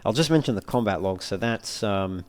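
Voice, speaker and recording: Man, low voice, casual let's play commentary, thinking aloud, reading out menus. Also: expressive, moderate pace, clean tone. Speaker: let's player. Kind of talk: casual gameplay walkthrough commentary.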